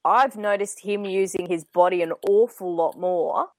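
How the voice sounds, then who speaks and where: An Australian woman co-hosting a casual football chat, her voice slightly croaky, talking in a joking, chatty way. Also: mid-range voice, normal speed, dry recording. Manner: chatty, joking, laughs often. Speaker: podcast co-host.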